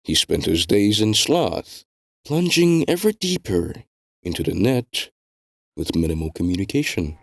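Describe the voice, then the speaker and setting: A man reading scripted story narration in a measured, deliberate storytelling delivery. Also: sits low, big pitch swings, gravelly, no echo, studio quality. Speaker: narrator of a web novel fandub.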